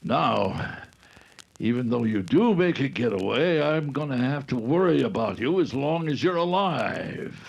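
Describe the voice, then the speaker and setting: A man performs a ruthless gang boss in an old-time radio adventure. His voice is gravelly and husky and swings widely in pitch. He takes his time, halting and menacing. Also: big pitch swings, full character voice. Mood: cold menace. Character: Red Markheim, ruthless gang boss posing as a grandpa.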